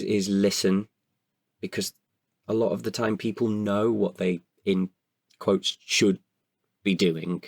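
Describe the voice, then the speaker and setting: A man with a very low voice full of gravelly creak. He speaks as an interview guest, candid and hesitant, feeling his way through each answer and doubling back on words. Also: no room sound, clean studio sound. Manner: hesitant, halting delivery with repeated words. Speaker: podcast guest, writer and performer.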